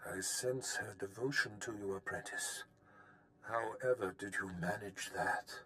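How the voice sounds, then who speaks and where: An actor playing a powerful, smugly commanding older Sith master in a video game, speaking formally and with measured pomp. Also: male voice, on the low side, bright, forceful tone, faint music behind. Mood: grandly approving. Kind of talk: scripted game dialogue.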